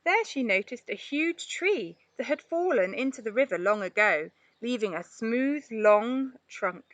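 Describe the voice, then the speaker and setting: Bright-voiced woman reading a children's story. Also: mid-range voice, dry recording. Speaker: storybook reader. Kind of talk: expressive scripted children's narration.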